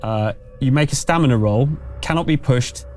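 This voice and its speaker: A man's voice: mid-range voice, moderate pace, a little mellow, soft background music. A man running a tabletop roleplaying game as game master, improvising narration and explaining rules to the players.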